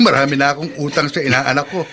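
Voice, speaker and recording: A man with a Filipino accent playing an expressive repair shop owner and family man in a radio drama. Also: on the high side, fairly quick. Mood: jovial. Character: friendly auto repair shop owner, wronged husband and father.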